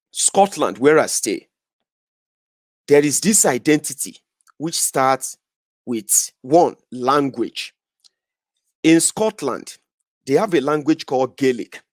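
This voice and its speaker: A man's voice: on the high side, no room sound. Emphatic Nigerian man, dry sound. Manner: emphatic, repeats phrases for effect. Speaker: political commentator. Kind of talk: passionate political monologue. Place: Scotland, indoors.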